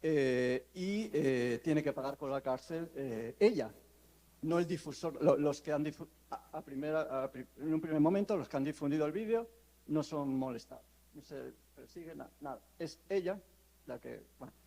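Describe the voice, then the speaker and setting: A man's voice: on the high side, moderate pace, mellow. Hesitant man giving a conference talk. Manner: hesitant, many fillers and restarts. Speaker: law professor giving a panel talk. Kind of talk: academic conference presentation. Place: conference hall.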